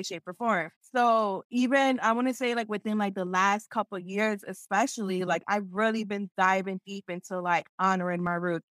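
A woman's voice: mid-range voice, a bit bright, no echo. A young woman from Philadelphia, a podcast guest talking casually, laughing often and using lots of filler words. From Philadelphia, USA.

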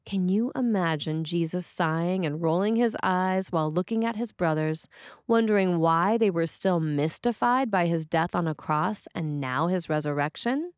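A woman reading a scripted sermon as a preacher, with measured, deliberate phrasing. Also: lowish voice, no echo, studio quality.

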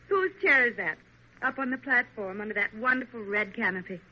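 An actress playing a president's refined, affectionate wife with a wry, teasing manner in a 1940s American radio drama. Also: female voice, a little high, normal speed, lightly acted. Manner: wry, genteel, lightly teasing. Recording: muffled, noisy old radio recording.

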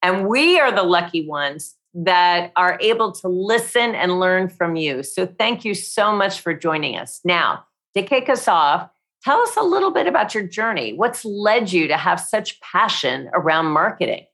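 Effusive low-voiced woman hosting. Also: sing-song, fairly bright tone. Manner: effusive, polished, reads the introduction at a measured pace. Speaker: host. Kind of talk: interview hosting with a read introduction.

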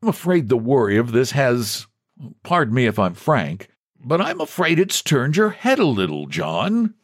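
A man's voice: mid-range voice, wildly swooping pitch, moderate pace, no room sound, clean studio sound, pitched above the performer's own voice. A man voicing a condescending bank president with an easy, patronizing, falsely sympathetic manner in a story reading. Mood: pitying.

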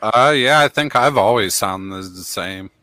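Man, deep low voice, bright edge, brief dry remarks, dry recording. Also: a little slow, no echo. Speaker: podcast guest.

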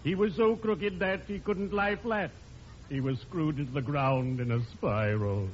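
A man's voice: a little high, a little slow, natural voice. Guy doing a droll pompous undertaker, stiffly formal, old radio comedy, dull and a bit noisy. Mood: mock-solemn, reproving. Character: morbidly genial, pompous small-town undertaker. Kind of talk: 1940s radio comedy acting.

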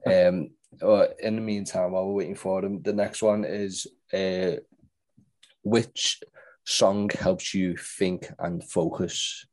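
Deep-voiced jokey male host. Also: level tone, slow pace, darkish tone, dry recording. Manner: jokey, laughs often, stumbling starts. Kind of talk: casual chat over video call. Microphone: video call.

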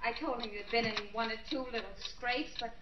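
An actress plays a flustered, earnest housewife in a vintage radio drama. Her high voice is nervous and fretful, heard from a distance through a noisy, dull old recording. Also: steady tone, brisk, natural voice. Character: anxious, flustered young housewife, earnest and worried. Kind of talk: 1940s radio drama acting. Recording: distant, noisy, echoey 1940s radio sound.

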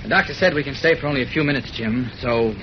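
An actor playing a calm, reassuring newspaper reporter in a 1940s radio drama. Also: male voice, medium pitch, fast talker, close to an everyday voice. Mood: calm, steadying. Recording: muffled, slightly noisy old radio recording.

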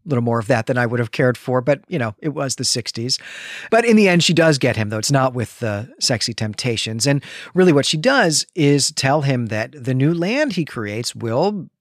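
A man with a medium-pitched voice talks through a story as a podcast co-host. His delivery is conversational, with wry asides, and the recording is close and dry, free of room sound. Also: sing-song, moderate pace, no echo. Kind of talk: conversational book discussion and story recap.

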